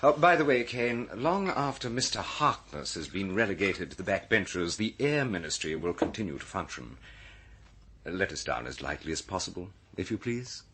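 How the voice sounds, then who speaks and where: An actor playing a suave, scheming ministry official in an old radio drama, formal and condescending in manner. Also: male voice, low-pitched, very animated, moderate pace, very noisy. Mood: smug.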